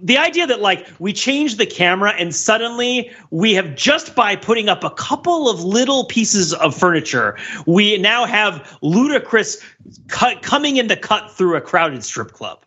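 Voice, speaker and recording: Animated, high-pitched man talking on a podcast. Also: normal speed, slightly rough, dull audio. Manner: animated, digressive, peppers talk with "like" and "right?". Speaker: podcast host. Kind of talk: casual podcast discussion and critique.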